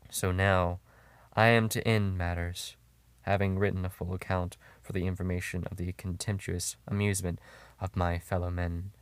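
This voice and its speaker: A man playing a broken, panic-stricken sailor, telling a horror story in a theatrical, halting way with stumbled words. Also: low-pitched, smooth, no room sound. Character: broken, panic-stricken male sailor confessing before death. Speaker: audiobook narrator. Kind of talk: dramatic first-person horror story narration. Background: droning guitar music.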